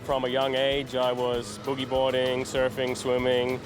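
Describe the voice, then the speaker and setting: A man from New Zealand, a lifeguard sergeant, calmly explaining his work in a documentary interview. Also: mid-range voice, a little slow, loud music behind the voice.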